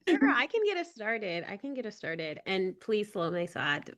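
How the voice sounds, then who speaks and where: A woman's voice: medium pitch, big pitch swings, normal speed, a bit bright. Woman panelist, casual and friendly, speaking over a video call. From United States. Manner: friendly, informal, uses "like" and "um". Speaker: panelist, nonprofit organizer. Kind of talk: casual virtual panel talk.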